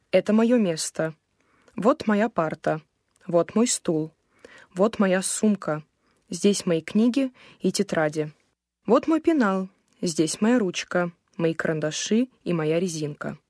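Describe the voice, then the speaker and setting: Low-voiced woman reading a language lesson. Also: darkish tone, no room sound, clean studio sound. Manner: slow, careful, clearly enunciated. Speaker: language course reader. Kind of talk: textbook lesson read aloud.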